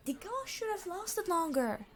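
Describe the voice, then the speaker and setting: A woman playing a weary immortal supervillain in an ASMR roleplay, an exasperated boss who is gentle with hostages, speaking formally with dry sarcasm. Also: very high voice, sing-song, measured pace, noisy background, exaggerated voice. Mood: exasperated, commanding. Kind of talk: scripted ASMR roleplay acting.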